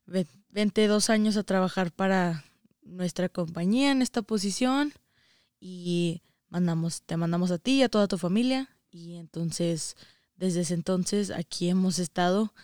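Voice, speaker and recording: Young female guest, northern Mexican accent, unhurried and resonant, conversational. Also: fairly low pitch, darkish tone, no echo, clean studio sound. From Saltillo, Mexico, and the United States. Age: young adult. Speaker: interview guest. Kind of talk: casual conversation telling own experience.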